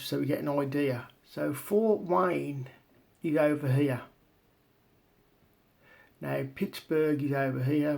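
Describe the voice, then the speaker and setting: A man giving informal explanatory commentary as a video presenter, talking in a halting, step-by-step way. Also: fairly high pitch, gravelly, darkish tone. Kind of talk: informal explanatory commentary over on-screen maps and documents.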